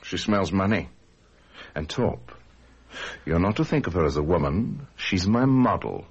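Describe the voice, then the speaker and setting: An actor playing an intense, imperious, lovesick painter with a husky voice in a radio drama. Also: male voice, low voice, very animated, measured pace, heavily acted. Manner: imperious, brusque. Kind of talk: old radio drama acting. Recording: dull old radio recording.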